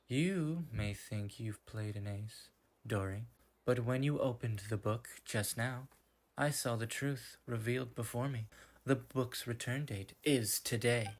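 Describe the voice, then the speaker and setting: A man voicing a stern, smug male enforcer from a game in a deliberate, taunting fan-dub delivery. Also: medium pitch, a little hiss or hum, lightly acted.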